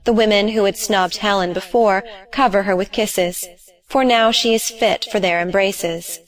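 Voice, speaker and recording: A woman's voice: medium pitch, fairly even intonation, moderate pace, rough recording. A woman giving scripted narration, reading out the stage directions of a play.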